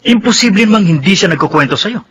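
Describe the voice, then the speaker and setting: An actor playing an upset, earnest young brother in a Filipino radio drama, with a Filipino accent. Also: male voice, high voice, expressive, fast, a bit bright, slight background noise. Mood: indignant.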